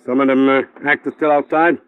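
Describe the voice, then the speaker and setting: Tinny old-radio sound: sardonic American male police lab man, played by an actor, grouchy, casual, wisecracking, mid-pitched. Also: fairly even intonation, fairly quick, a little hiss or hum, natural voice. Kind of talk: 1950s radio detective drama. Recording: thin, phone-like old radio recording.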